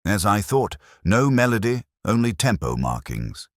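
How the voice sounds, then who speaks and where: A man voicing a brilliant, intense gentleman detective in an audiobook, speaking in a deliberate, theatrical and incisive way. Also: fairly low pitch, big pitch swings, no room sound, clean studio sound, pitched above the performer's own voice. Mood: grave, brooding.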